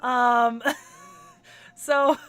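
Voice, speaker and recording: A woman's voice: a little high, level tone, extremely slow, clear, resonant tone. A woman streaming a video game, chatting casually and rambling with lots of restarts and the odd swear, stuffy and congested from a cold. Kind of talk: casual livestream chat while gaming.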